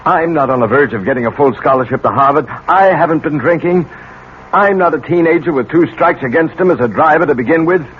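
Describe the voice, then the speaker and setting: A man's voice: medium pitch, close to an everyday voice. An actor playing a middle-aged American college professor, a protective and commanding father who probes firmly, in 1970s radio drama style. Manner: probing, firm, authoritative. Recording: muffled, noisy old radio recording.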